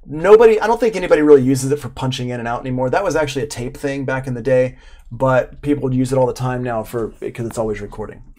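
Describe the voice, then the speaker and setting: A man's voice: medium pitch, fast talker, warm tone. A man in his forties giving a casual live tutorial as an instructor, explaining in an informal, enthusiastic way. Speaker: audio production instructor. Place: small room at home, indoors.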